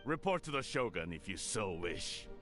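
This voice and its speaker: An actor playing a scheming older clan head who speaks in a haughty, condescending way, in a video game. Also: male voice, on the low side, sing-song, moderate pace, music in the background. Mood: scornful.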